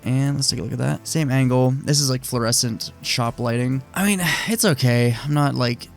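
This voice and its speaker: A man getting over a cold, chatting casually and opinionatedly in a relaxed, rambling way full of fillers, hosting his own video. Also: mid-range voice, moderate pace, creaky, faint music behind. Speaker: motorcycle vlogger. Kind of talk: casual screen-share commentary.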